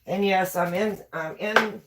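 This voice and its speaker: A woman's voice: medium pitch, a little slow, very noisy. A woman with a West Virginia accent hosting a casual baking live stream from her home kitchen, chatting and repeating herself as she reads viewer comments. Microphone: camera on a tripod.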